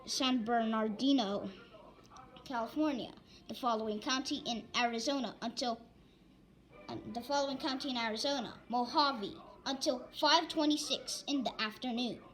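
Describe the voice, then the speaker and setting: A woman reading an amateur emergency weather bulletin in a halting, stumbling way, often repeating phrases. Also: on the high side, poor audio. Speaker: announcer of mock emergency alerts. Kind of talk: amateur weather alert bulletin read aloud.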